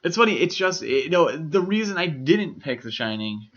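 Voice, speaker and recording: A man co-hosting a podcast, discussing films casually with measured, analytical phrasing. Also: fairly high pitch, very animated, a bit bright. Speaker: podcast co-host. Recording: dull lo-fi microphone sound.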